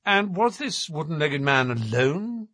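A man voicing a shrewd detective in an audiobook, with a smooth, measured, politely formal way of coaxing. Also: fairly high pitch, big pitch swings, bright, forceful tone, no echo, full character voice. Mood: bland probing. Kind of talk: audiobook character voice.

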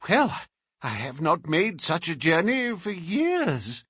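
A man voicing an eager old collector, high and husky. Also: huge pitch swings, slow, fairly bright tone, no room sound, studio quality, heavily acted. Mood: mild. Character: excitable elderly male collector, eager and timid. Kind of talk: audiobook character reading.

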